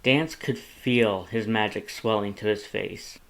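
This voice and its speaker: A man reading fan fiction aloud as audiobook narration, with even, steady storytelling. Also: fairly low pitch, slow, gravelly.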